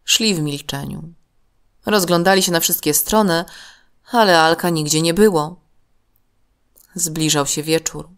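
Woman, children's storyteller, unhurried, expressive, slightly low pitch. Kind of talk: scripted children's story narration.

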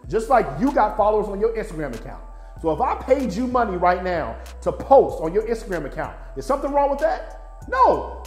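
A man's voice: high voice, darkish tone. Emphatic American man, roomy echo. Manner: emphatic, blunt, profane, slangy. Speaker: music promoter and video host. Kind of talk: opinionated explainer to camera. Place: small indoor office studio.

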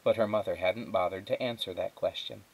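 Male storybook reader, teacher reading to children, fairly low voice, lightly performed dialogue. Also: a little fast, gravelly, poor audio. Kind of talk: children's storybook read-aloud.

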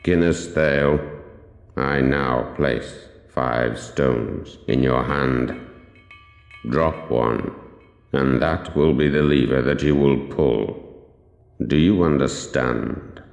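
Casting: male actor as solemn ruler of a deadly trial, gravelly, extremely low, commanding, echoing hall in audio horror. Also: full character voice. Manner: slow, commanding, formal. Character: cold, solemn male liege presiding over a deadly trial. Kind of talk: dark fantasy audio horror drama. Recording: echoing hall with long reverb, music.

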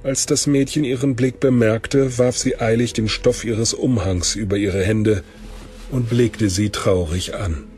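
Low-pitched male storyteller. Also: fairly even intonation, measured pace, darkish tone, soft background music. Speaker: narrator. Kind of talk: scripted narration of a German fantasy audio drama.

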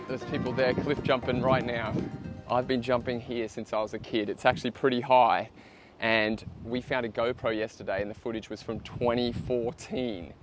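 A young Australian man vlogging his treasure hunt, chatty and eager, laughing now and then. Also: mid-range voice. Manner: excitable, gasping and laughing at finds. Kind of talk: casual vlog narration. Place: outdoors by a flooded quarry, in a van, and underwater. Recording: noisy outdoor camera audio.

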